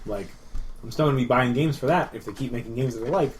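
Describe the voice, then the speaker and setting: A man talks very quickly in a mid-pitched voice. He is a podcast panelist, informal and anecdotal. Faint room noise sits behind him. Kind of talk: casual chat about video games.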